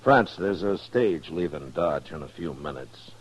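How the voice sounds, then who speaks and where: An American actor playing a calm, stern frontier marshal in a 1950s radio western, speaking in a measured, terse way. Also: male voice, very deep voice, husky, full character voice. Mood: calm, firm. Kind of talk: 1950s radio drama acting. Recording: dull 1950s radio recording.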